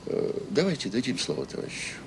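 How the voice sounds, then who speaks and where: A man's voice: wildly swooping pitch, quick pace. A man acting in an audio drama as a self-assured, sarcastic education official with an oratorical, condescending manner. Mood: sarcastic, polite. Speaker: conference speaker. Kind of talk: radio drama acting, formal speech. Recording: noisy 1980s audio play with rumble.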